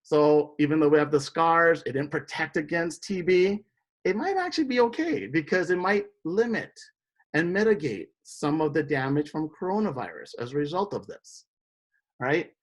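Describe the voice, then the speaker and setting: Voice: medium pitch, lively intonation, normal speed. An instructor from Indonesia giving a classroom biology lecture, explaining step by step and often checking in with students.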